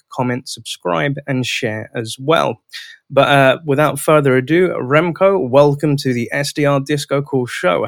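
A man's voice: fairly high pitch, gravelly, no room sound, studio quality. A man hosting a sales podcast, enthusiastic and polite, welcoming his guest and calling him sir. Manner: enthusiastic, sing-song intro, polite "sir". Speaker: podcast host.

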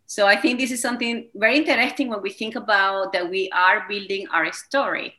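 Bright-voiced Venezuelan woman in her thirties. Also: medium pitch, fairly even intonation, brisk. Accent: Venezuelan Spanish. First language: Spanish. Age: 30s. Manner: enthusiastic, slightly non-native phrasing. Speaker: wellness coach, guest. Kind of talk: interview conversation.

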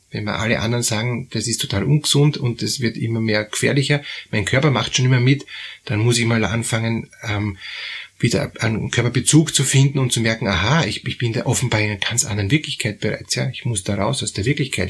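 Halting husky man giving advice. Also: mid-range voice. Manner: halting, many fillers and repeated words. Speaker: therapist host. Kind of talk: advisory podcast talk.

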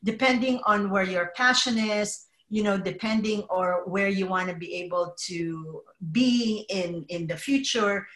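A middle-aged woman from the Philippines speaks as a podcast guest. She talks in an earnest, measured way, often pausing with small fillers. Her voice carries a noticeable room echo. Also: medium pitch, a bit bright. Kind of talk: casual interview conversation.

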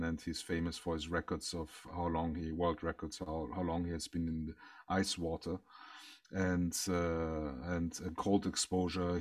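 A man whose first language is German, a counselor speaking in a podcast interview, halting with many pauses and repeated words. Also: deep voice, no echo, studio quality, recorded from a distance. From Austria. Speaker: counselor and breathwork guest.

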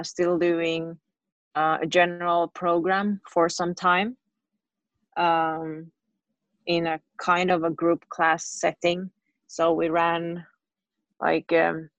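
A woman who co-owns a gym, answering interview questions steadily, with many pauses and fillers. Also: lowish voice, dry recording. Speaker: gym owner and coach. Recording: dull, dry lo-fi sound.

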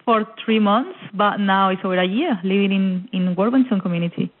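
A woman's voice: mid-range voice, a little fast. A young woman from Colombia with a Colombian accent, a guest in an interview who talks eagerly and repeats words as she searches for them. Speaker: guest, cultural centre officer. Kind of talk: casual interview chat. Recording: tinny phone line.

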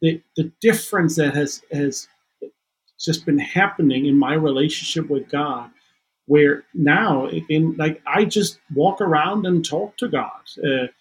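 A man's voice: a little high, husky, poor audio. A man whose first language is Danish, earnestly explaining his faith in a conversation, often restarting his sentences. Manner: earnest, halting with repeated restarts. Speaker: guest counselor.

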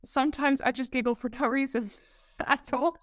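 Giggly Dutch woman, higher voice. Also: moderate pace, dry recording. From Netherlands. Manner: giggly, animated, expressive. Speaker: presentation coach, guest. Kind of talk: casual podcast interview.

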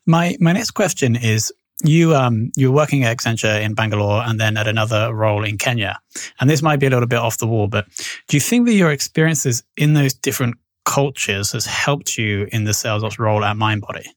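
A man's voice: medium pitch, fairly quick, no echo. Dry-sounding male podcast host. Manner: polished, friendly interviewer with small stumbles. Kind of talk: podcast interview.

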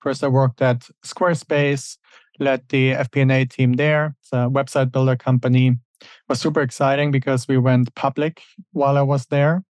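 A man's voice: mid-range voice, little pitch movement, normal speed, a little mellow, no echo. German-raised man, dry close sound. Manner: thoughtful, many fillers and restarts. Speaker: podcast guest. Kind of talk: casual interview answers.

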